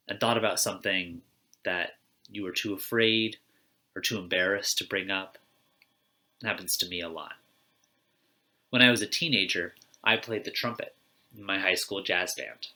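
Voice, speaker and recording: A man's voice: lowish voice, sharp tone. A man giving a conversational church reflection, plain-spoken and putting questions straight to his listeners. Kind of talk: conversational sermon on a personal theme.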